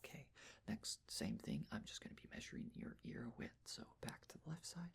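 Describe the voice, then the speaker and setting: A person whispering in a gentle, reassuring ASMR roleplay style, giving soft step-by-step instructions as a measurer. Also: mid-range voice, animated, brisk, vocal fry, husky. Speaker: ASMR performer playing a measurer taking face and body measurements.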